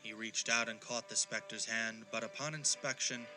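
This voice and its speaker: A man narrating a ghostly Victorian audio play as a solemn, measured storyteller. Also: lowish voice, fairly even intonation, over music, close to an everyday voice, rough recording. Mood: suspenseful. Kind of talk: scripted dramatic narration.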